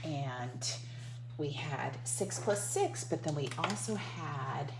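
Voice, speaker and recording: A woman's voice: deep voice, expressive, slow, creaky. A woman teacher reading a picture book to young children, breaking off to explain and coax answers with questions and repetition, on a noisy recording. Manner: instructive, coaxing the listener with questions and repetition.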